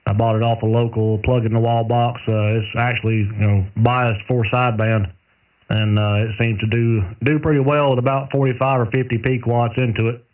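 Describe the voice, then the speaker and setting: A man talks casually over a thin, narrowband radio link, sounding set back from the microphone. He is a radio operator with a folksy, countrified way of putting things, in a mid-pitched voice. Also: level tone, moderate pace. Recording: tinny narrowband radio transmission, far from mic.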